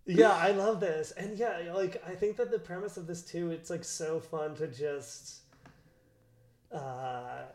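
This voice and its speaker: A man's voice: fairly high pitch, steady tone, slow, darkish tone. A man hosting a podcast in casual comedic chat, rambling and often trailing off. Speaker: podcast host.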